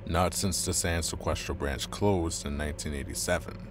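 A man's voice: a bass voice, normal speed, music in the background. A man playing a ruthless, coldly calculating corporate agent in an audio drama, controlled and menacing. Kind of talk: dramatic audio roleplay acting.

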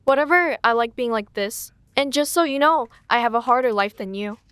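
A woman's voice: a little high, brisk, fairly bright tone, clean tone, no echo, natural voice. A woman playing an aloof, dismissive young girl gamer with a curt manner in an amateur storytime drama. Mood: defensive. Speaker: voice actor in an animated storytime drama. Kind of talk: scripted amateur roleplay dialogue.

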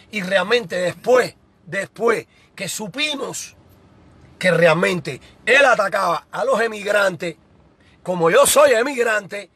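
A middle-aged man with a Cuban accent, hosting a heated, insulting video rant from a small room, repeating himself and bursting into shouts. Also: high-pitched, breathy edge, no room sound. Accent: Cuban Spanish. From Cuba. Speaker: show host.

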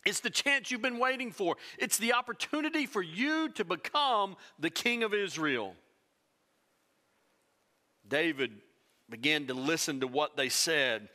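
Middle-aged man, pastor preaching, animated, bright, fairly high voice, church stage. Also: unhurried. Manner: animated, gesturing widely, voice swinging from loud to hushed. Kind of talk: sermon.

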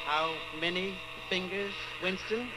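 An actor playing a coldly patient, menacing party inquisitor in old-time radio style, measured, condescending and commanding. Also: male voice, sits high, very noisy. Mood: cold insistence.

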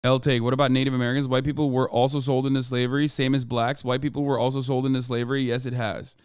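A man's voice: mid-range voice, little pitch movement, fast talker, smooth, no room sound. A man in his thirties giving emphatic, profane, lecturing political commentary on a livestream into a close desk microphone. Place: small room at home, indoors.

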